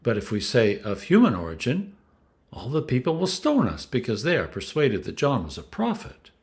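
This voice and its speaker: A man's voice: medium pitch, wildly swooping pitch, moderate pace. A man voicing worried male elders in a scripture reading, reasoning cautiously among themselves.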